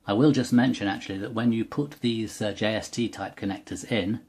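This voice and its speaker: A man with a fairly low voice talks through a technical tutorial. He is unscripted and methodical, taking the listener through the product one step at a time as an explainer would. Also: steady tone, brisk, darkish tone, slightly rough. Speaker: product demonstrator.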